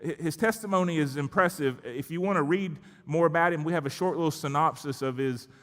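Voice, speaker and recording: A man's voice: fairly high pitch, brisk, a bit bright. A man speaking as a pastor to his church, conversational and emphatic, telling personal anecdotes in a church address. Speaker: pastor leading a worship service. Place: large church sanctuary. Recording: echoey church hall with long reverb.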